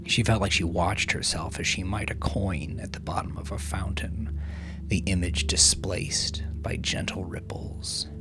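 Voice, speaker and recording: A man narrating dramatic fiction from a script in a measured, solemn manner, with hushed phrase endings. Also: low voice, fairly even intonation, in a whisper. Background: music.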